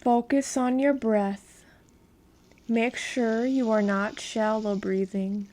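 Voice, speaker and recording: A woman leads a guided meditation at a very slow pace. Her voice sits a little high, and she gives each instruction in a calm, measured manner.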